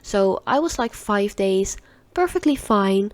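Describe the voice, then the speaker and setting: Dutch woman, 30s, nasal with a cold, chatty rambling vlogger, mid pitch, dry close sound. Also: animated, unhurried, no room sound. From Netherlands. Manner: rambling, chatty, laughs often. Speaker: nail art vlogger. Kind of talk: casual tutorial chat.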